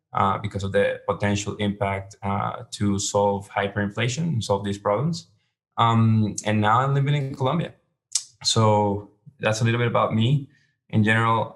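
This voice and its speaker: Guy on a podcast, lowish flat voice, explains things slowly and carefully. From Venezuela. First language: Spanish. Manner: measured, explanatory, hesitant. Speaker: podcast guest, startup founder. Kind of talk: interview answers.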